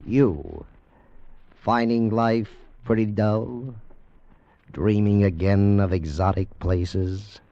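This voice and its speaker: A male announcer gives a dramatic old-time radio introduction. His voice is mellow and slow, with portentous questioning, on a dry, muffled recording. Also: lowish voice, no echo.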